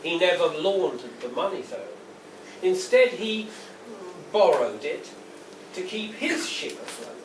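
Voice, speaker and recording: Guy reading his poems at a live event, slow and declamatory, far off mic with lots of noise. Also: sits high, sing-song. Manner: measured, deliberate, declamatory delivery. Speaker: poet reading own work. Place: indoor venue at a launch reading. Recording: roomy, distant, noisy live event recording.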